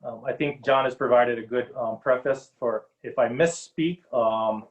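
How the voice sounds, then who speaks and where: Measured middle-aged man on a muffled call. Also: mid-range voice. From Hawaii. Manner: measured, earnest, many ums. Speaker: panel guest, Native policy advisor. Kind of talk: webinar panel talk.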